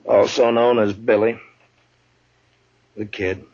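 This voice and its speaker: An actor playing a steady, commanding marshal with a terse, authoritative manner in a 1950s radio western. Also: male voice, lowish voice, slow, background noise. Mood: grim, businesslike. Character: steady, commanding male Old West U.S. marshal. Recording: dull vintage 1950s radio recording.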